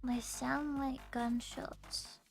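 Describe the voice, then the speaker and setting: High-voiced woman streamer, swearing casually. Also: flat delivery, very slow, vocal fry, soft background music. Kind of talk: casual gameplay chat.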